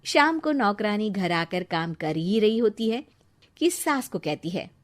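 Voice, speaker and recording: A woman's voice: mid-range voice, big pitch swings, a little slow. A woman narrates a scripted story. She serves as the storyteller, setting out each scene in narration.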